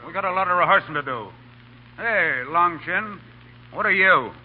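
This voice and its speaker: An actor playing an impatient, slangy American gangster ringleader in a 1930s radio play. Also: male voice, high-pitched, animated, normal speed, a crowd in the background. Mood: bossy. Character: bossy, exasperated gangster ringleader rehearsing his mugs. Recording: tinny, narrow-band 1930s radio recording.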